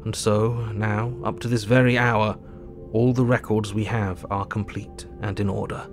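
An actor playing a sober, reflective Victorian doctor, narrating his diary in a measured, formal way for an audio drama. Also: lowish voice, level tone, music in the background. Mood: resolute.

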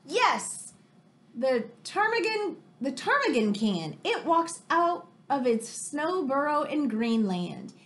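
An American woman in her thirties reading a picture book aloud like a teacher to children, expressive and exclamatory, with chatty questioning asides. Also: high-pitched, takes it slowly. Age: 30s. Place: room in a home, indoors.

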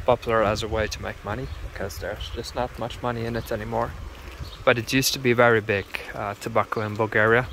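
A man narrating a casual travel vlog, explaining as he goes with many pauses, filmed outdoors on a handheld camera. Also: fairly low pitch, fairly bright tone, slight background noise. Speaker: travel vlogger. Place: outdoors on village streets and in a parked car.

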